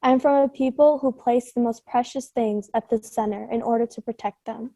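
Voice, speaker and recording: A woman's voice: fairly high pitch, fairly even intonation, brisk, warm tone, dry recording. A woman reciting lines of a poem earnestly.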